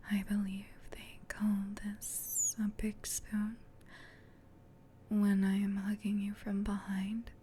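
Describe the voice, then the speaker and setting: A gentle, caring female sleep paralysis demon, acted by a woman whispers softly in a comforting ASMR roleplay. Her delivery is slow and even, breathy and tender, close to the mic. A faint low rumble sits behind her. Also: lightly acted. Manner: tender, reassuring, unhurried.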